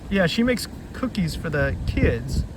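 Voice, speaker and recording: A man's voice: a little high, big pitch swings, measured pace. A man hosting a casual children's read-aloud outdoors, rambling and easily sidetracked as he talks. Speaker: read-aloud storytelling host. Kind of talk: casual chat summarizing a children's book. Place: outdoors beside a river. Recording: noisy outdoor recording.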